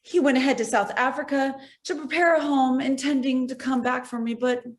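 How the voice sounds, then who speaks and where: Actress as a poised, worldly society widow. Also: female voice, high voice, steady tone, a little fast, lightly acted. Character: self-possessed, worldly wealthy society widow. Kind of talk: stage comedy acting over video call. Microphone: video call.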